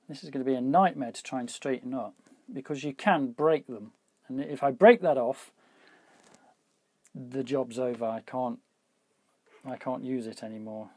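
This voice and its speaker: A man with a slightly husky voice gives casual hands-on repair commentary, thinking aloud informally as he works. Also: medium pitch, a little mellow. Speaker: repair hobbyist presenting a video.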